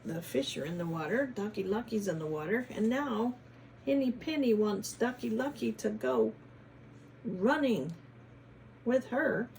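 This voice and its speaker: A woman hosts a children's read-aloud in a teacherly, questioning manner. Her pitch moves widely and her pace is slow, with some creak in the voice. She mixes expressive story reading with chatty asides. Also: slight background noise.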